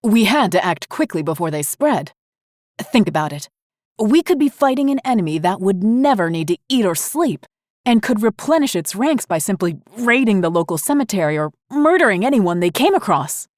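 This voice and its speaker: Woman voicing a defiant, indignant man, raised pitch. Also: sing-song, moderate pace, darkish tone, dry recording, clean studio sound, close to an everyday voice. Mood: urgent. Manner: urgent, indignant. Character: defiant, angry man fighting an alien invasion.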